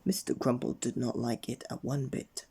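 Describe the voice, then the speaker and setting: A man narrating a children's bedtime story in an expressive read-aloud manner. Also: mid-range voice, normal speed, vocal fry, husky, whispered. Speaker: storybook reader.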